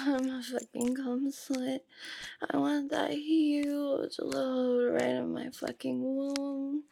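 Woman playing a high, slow, submissive boss in erotic roleplay. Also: warm tone, a little hiss or hum, exaggerated voice. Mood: pleading, urgent. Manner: breathy moans, drifting into whispers. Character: adult female boss, submissive and eagerly pleading. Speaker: performer of adult audio roleplay. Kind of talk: intimate erotic audio roleplay.

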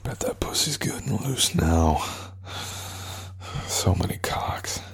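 Man doing a cold, controlling lover: low breathy whisper, close and taunting. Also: takes it slowly, exaggerated voice. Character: cold, domineering, cruel male lover. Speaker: audio roleplay performer. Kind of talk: whispered adult audio roleplay.

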